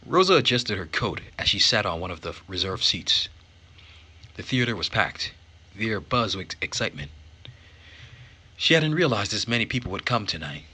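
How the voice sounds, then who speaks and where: A man's voice: on the low side, sing-song, normal speed, pauses often, bright, forceful tone, slightly rough. A man reading a visual novel aloud as dramatic narration during a playthrough.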